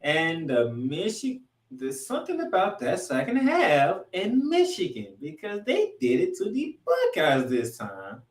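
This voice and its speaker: A man hosting a sports podcast, recapping games in an animated, emphatic showman's delivery with dramatic announcements. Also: sits high, a little slow. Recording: echoey room, distant from mic.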